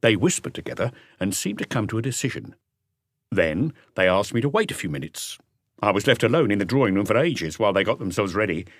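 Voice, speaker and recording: Low-voiced man narrating, close and dry. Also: sing-song, brisk, no echo. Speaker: audiobook narrator. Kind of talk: scripted literary narration.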